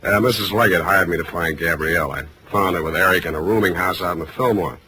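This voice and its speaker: An American actor playing a wry, hard-boiled private detective in a deadpan, clipped 1940s radio style. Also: male voice, deep voice, fast, close to an everyday voice. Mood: dry, wry. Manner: deadpan, clipped narration and dry banter. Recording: noisy old radio drama recording.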